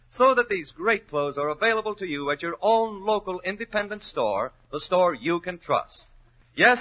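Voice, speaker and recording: A man's voice: sits high, sharp tone, low-quality recording. A man with an American accent announcing in scripted 1940s radio style, polished and emphatic. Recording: old 1940s radio recording.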